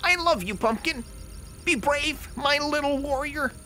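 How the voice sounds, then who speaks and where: A man's voice: very high-pitched, a little slow, sharp tone, slight background noise, heavily acted. A tender, earnest father. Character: loving inventor father, middle-aged, earnest.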